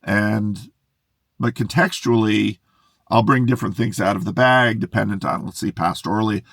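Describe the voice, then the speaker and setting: Middle-aged male pastor, low gravelly voice, rambling excitable monologue, dry car sound. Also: moderate pace, dry recording. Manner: musing, self-interrupting, excitable. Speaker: pastor and video host. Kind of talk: unscripted monologue to camera. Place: inside a parked car in a closed garage. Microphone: camera in the car.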